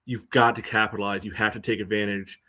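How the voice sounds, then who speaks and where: A man co-hosting a sports podcast, analysing in a casual, halting way full of verbal tics. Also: fairly low pitch, level tone, sharp tone. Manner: halting, peppers speech with "you know".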